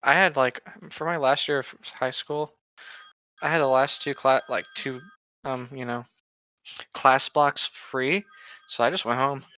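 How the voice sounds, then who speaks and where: A man's voice: mid-range voice, fairly even intonation, measured pace, sharp tone, dry recording, dull audio. A man chatting and reading aloud casually with friends, with a teasing, chatty manner. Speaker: podcast co-host reading aloud. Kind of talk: casual group fan fiction read-aloud with banter.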